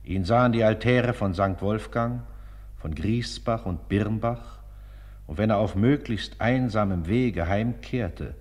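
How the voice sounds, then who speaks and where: Guy narrating a saintly biography, low and solemn, big hall reverb on it. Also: darkish tone. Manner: solemn, measured. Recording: long reverberant hall sound.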